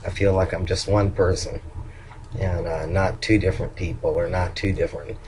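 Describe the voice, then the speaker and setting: An older man with a low voice that turns nasal at times and sounds hoarse, talking haltingly in a casual video update, with many ums and restarts. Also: level tone, darkish tone, slight background noise. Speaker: vlogger.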